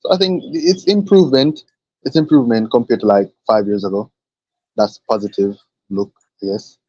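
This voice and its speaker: Mellow laid-back man, lo-fi sound. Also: medium pitch, normal speed, dry recording. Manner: laid-back, hesitant, many fillers. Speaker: podcast co-host. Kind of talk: casual chat. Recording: dull lo-fi sound.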